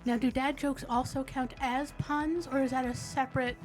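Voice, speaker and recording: Higher-pitched woman hosting over music. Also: flat delivery, moderate pace. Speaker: show host introducing players. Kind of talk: casual chat on a game stream.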